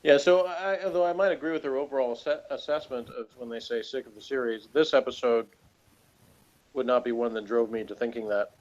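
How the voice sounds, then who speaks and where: A man's voice: medium pitch, a little fast, darkish tone, muffled recording. A man from Texas chatting casually and unscripted as a co-host, rambling and correcting himself with a dry joking manner. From Texas, United States. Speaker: podcast co-host reviewing a TV episode.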